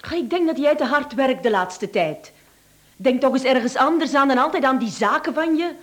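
Radio-drama wife, high voice, animated intonation, chatty, coaxing, gently exasperated. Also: female voice, moderate pace. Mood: coaxing, concerned. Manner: coaxing, mildly exasperated, domestic. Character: caring, chatty wife of a nervous man. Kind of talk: radio play acting.

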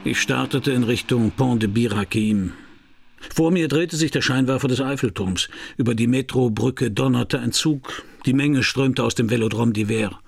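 An actor playing a cool, laconic Paris private detective in a German radio crime drama. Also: male voice, mid-range voice, normal speed, vocal fry, husky. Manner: laconic, dry. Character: cool, hard-boiled male Paris private detective narrating his case. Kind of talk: German radio crime drama, first-person narration and dialogue.

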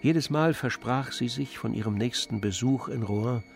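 A man with a creaky voice giving scripted literary narration in a radio drama. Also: mid-range voice, normal speed. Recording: studio radio drama with music underneath.

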